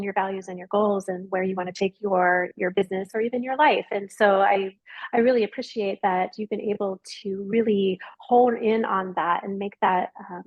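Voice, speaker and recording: A woman's voice: a little high, slightly rough, muffled recording. A woman hosting an interview show on a call, welcoming her guest enthusiastically and affirming her points. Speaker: podcast host.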